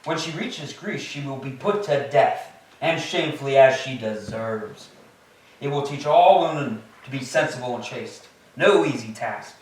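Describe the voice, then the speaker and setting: A wronged Greek king, acted by a man, imperious and scornful, declaims in a mid-pitched voice. The stage tragedy is picked up from far off in a heavily reverberant hall. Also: animated, a little slow, close to an everyday voice. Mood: imperious, dismissive. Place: church, staged reading. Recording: very echoey hall, distant mic.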